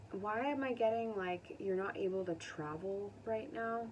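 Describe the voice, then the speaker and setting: An American woman giving a casual, unscripted tarot reading to viewers, conversational and musing, pausing to think aloud. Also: medium pitch, a little mellow, dull audio. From United States. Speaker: tarot reader. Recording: distant mic with faint background noise.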